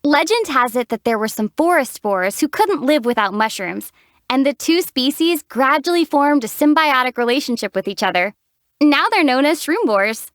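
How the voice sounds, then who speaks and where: A young woman voicing a calm, dry-witted heroine in a game, teasing her companion in a deadpan way. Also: high-pitched, normal speed, no echo. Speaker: player character, traveler heroine. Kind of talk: game voice acting.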